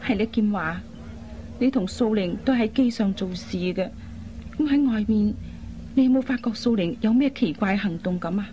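An actress playing a worried, caring young woman in a radio drama, with an earnest, expressive delivery. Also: medium pitch, moderate pace, lightly acted. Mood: concerned. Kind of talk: Cantonese radio drama acting. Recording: dull lo-fi recording, faint noise.

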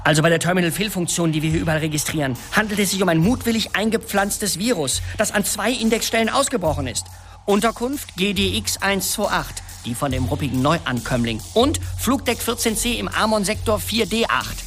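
A man's voice: high-pitched, expressive, a little fast, a bit bright, soft background music. An actor in a science fiction radio drama playing a cocky, self-assured field trooper reporting to his control centre. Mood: businesslike reporting.

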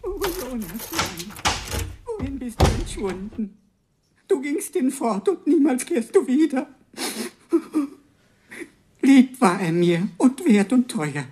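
Wildly swooping, theatrical chatterbox computer. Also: female voice, high-pitched, big pitch swings, slow, pauses often, dark tone, a little hiss or hum, heavily acted. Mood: mock mourning. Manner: florid, pompous, gushing word cascades. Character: chattering, theatrical, devoted talking computer companion. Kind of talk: radio drama acting.

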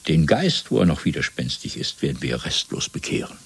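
An actor playing a coldly domineering party interrogator in a German radio play, lecturing in a measured, zealous way with a gravelly, husky voice. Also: male voice, very deep voice, very animated, full character voice. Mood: cold, controlled. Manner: measured, lecturing, swelling from hushed to fervent.

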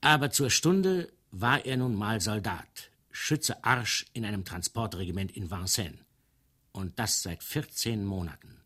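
A man's voice: lowish voice, expressive, a little slow, a bit bright. A man reading as the wry, digressive narrator of a comic novel, in a playful, colloquial manner. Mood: wry, emphatic. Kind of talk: literary reading.

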